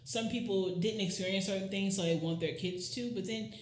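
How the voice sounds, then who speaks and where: A woman's voice: medium pitch, a little fast. A woman co-hosting a podcast in casual chat, emphatic and repeating her key phrases. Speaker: podcast co-host. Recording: roomy echo.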